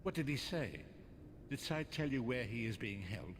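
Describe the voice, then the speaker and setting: Solemn old prophet, cavernous reverb. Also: male voice, mid-range voice, lively intonation. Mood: pondering. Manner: measured, formal, cryptic. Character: solemn, cryptic elderly male prophet and mystic. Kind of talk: fantasy video game acting. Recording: long cavernous reverb with background noise.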